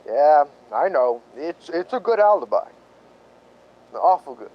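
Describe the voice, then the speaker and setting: An actor playing a plain-spoken, suspicious police lieutenant in a radio drama, questioning people in a blunt, folksy way. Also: male voice, fairly high pitch, big pitch swings, measured pace, lo-fi sound. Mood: doubting.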